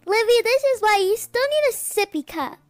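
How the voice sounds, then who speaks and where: A woman's voice: extremely high pitch, animated, moderate pace, faint music behind, pitched above the performer's own voice. A woman voicing a sassy, easily annoyed schoolgirl big sister in a cartoon roleplay, with an exasperated, scolding manner.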